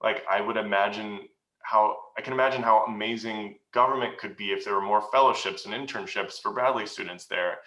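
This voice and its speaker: Man, guest speaker, reflective, halting, sharp bright tone, echoey room. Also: on the low side. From United States. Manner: reflective, earnest, halting with many pauses. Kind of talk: answering questions at a meeting. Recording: roomy echo.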